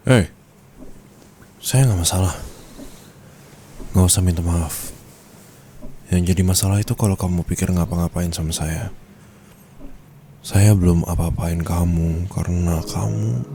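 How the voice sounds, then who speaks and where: A man's voice: low-pitched, steady tone, a little slow, gravelly, long pauses, background noise. A man playing a strict, flirty and possessive male office boss in an intimate ASMR roleplay, commanding and teasing, with questioning tags. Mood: firm, low.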